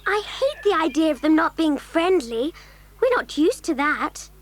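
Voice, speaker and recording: An earnest child in an audio adventure drama. The voice is extremely high and animated, gentle in temper, with faint noise behind. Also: female voice, a little slow. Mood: worried.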